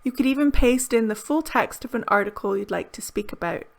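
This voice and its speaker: A woman presenting a lesson video in a clear, upbeat, carefully enunciated teaching manner, recorded indoors on a close camera mic. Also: on the high side, animated, a little fast. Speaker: English teacher.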